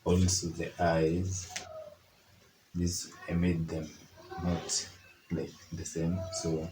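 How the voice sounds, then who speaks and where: A hoarse young man chatting informally as he critiques his old drawings, full of fillers. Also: deep voice, level tone, drawn-out words, echoey room, noisy background. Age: young adult. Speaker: artist. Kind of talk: casual art tutorial chat.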